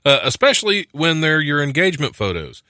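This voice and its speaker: A man's voice: fairly high pitch, sing-song, a little fast, bright, forceful tone, dry recording, clean studio sound. An American man of about forty hosting a podcast, chatting casually and reading odd news items with joking self-deprecation. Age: around 40. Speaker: podcast host. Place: small studio. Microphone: close studio mic on a stand.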